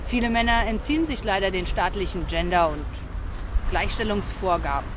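A woman's voice: medium pitch, close to an everyday voice. Woman acting a officious police spokeswoman, noisy street. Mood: smug, lecturing. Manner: officious, lecturing, measured. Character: smug, doctrinaire female police spokeswoman. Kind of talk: satirical radio play, official press statement. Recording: noisy outdoor street recording, traffic.

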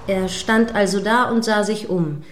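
A woman's voice: mid-range voice, slight background noise, natural voice. Woman playing an earnest, probing radio news anchor. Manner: formal, measured, insistent questioning. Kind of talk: satirical radio play as live news broadcast.

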